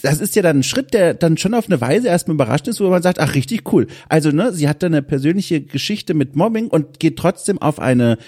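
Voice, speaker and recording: A man's voice: fairly high pitch, expressive, a little fast, few pauses, darkish tone, husky. A man hosting a conversational interview, chatty and encouraging, with long questions and spontaneous asides. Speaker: interviewer, host.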